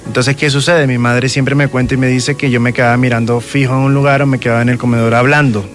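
A man's voice: mid-range voice, level tone, quick pace. A man with a Venezuelan accent, a guest telling personal stories conversationally and often checking that the listener follows. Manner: frequent check-in tags. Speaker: guest, self-described medium.

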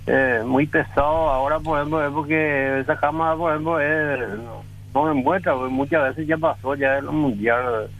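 Gravelly rambling football fan. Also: male voice, on the high side, fairly bright tone, muffled recording. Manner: rambling, halting, slangy. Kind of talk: casual football talk on radio.

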